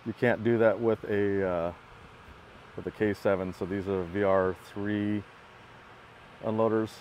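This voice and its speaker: Lower-voiced man touring a work truck outdoors. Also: fairly even intonation, unhurried, highs cut off, slight background noise. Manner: plainspoken, matter-of-fact, pointing things out. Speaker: equipment builder giving a walkaround. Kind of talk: casual product walkthrough. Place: outdoors by a truck in a parking lot.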